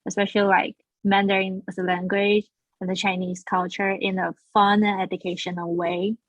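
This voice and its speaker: Woman from Taiwan, founder guest, earnest and restarting often, mid pitch, dull dry sound. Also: level tone, moderate pace, dry recording. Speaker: guest, founder of a language school. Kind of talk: casual interview.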